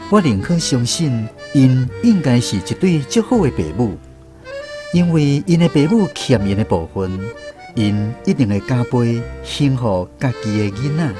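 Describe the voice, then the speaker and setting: A man with a Taiwanese accent tells a first-person story as a radio drama narrator. His mid-pitched voice rises and falls expressively, with music playing clearly behind it. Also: lively intonation, unhurried.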